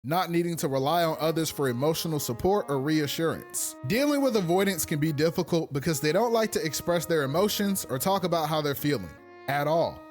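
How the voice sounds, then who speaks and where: A man with a somewhat high voice narrates a scripted video essay. His pitch moves around a lot, and faint music plays behind him. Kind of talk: scripted narration with personal asides.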